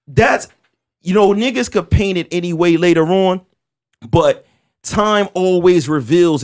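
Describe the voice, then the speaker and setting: A man in his forties hosting a casual podcast, talking with animated emphasis, street slang and stammered restarts. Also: fairly high pitch. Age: 40s. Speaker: podcast host.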